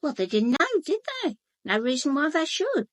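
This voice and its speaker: An actress playing a gossipy, blunt English housekeeper in a British radio drama, chatty, colloquial and confiding. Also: female voice, high voice, very animated, moderate pace, no echo. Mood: knowing, gossipy. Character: gossipy, blunt, sharp-eyed housekeeper, a working-class woman. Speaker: actor in a radio murder mystery.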